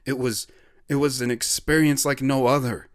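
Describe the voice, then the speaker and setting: A man's voice: medium pitch, fairly even intonation, moderate pace, no echo, studio quality, natural voice. A man playing an earnest war veteran who recounts his life story in a reflective, sentimental way in an audio drama. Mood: tender.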